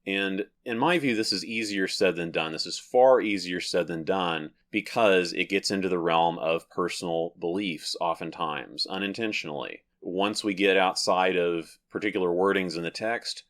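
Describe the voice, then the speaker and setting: Deep-voiced man explaining his views. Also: fairly bright tone. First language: English. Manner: deliberate, repeats and rephrases points. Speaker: religious video host. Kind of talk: solo talk to camera, measured explanation.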